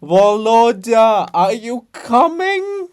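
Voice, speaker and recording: A man's voice: very high voice, sing-song, very slow, darkish tone, clear, resonant tone, pitched above the performer's own voice, full character voice. A man voicing a proud, scornful princess monument who scolds in a haughty, cutting way in a dramatic farce reading. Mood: shouting, imperious.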